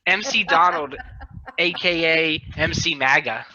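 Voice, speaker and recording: A man's voice: on the high side, a little slow, crowd noise. A man hosting a podcast in casual, teasing comedic banter over a dull-sounding video call. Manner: teasing, joking. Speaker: podcast host.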